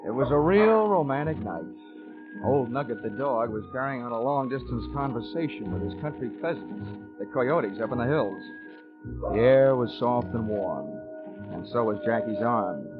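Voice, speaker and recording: An actor playing a wisecracking, world-weary insurance investigator in a 1940s radio drama, speaking in a deadpan way. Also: male voice, mid-range voice, moderate pace, lightly acted. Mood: wry narration. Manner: wry, deadpan. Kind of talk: 1940s radio acting, first-person narration. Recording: dull old radio recording, music behind.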